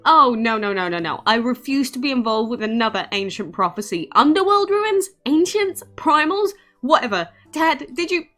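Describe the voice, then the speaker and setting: A woman's voice: high-pitched, animated, moderate pace, hardly any pauses, bright, forceful tone, soft background music, pitched above the performer's own voice. A woman voicing an exasperated young son in a game, protesting emphatically. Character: exasperated young son refusing to join another prophecy.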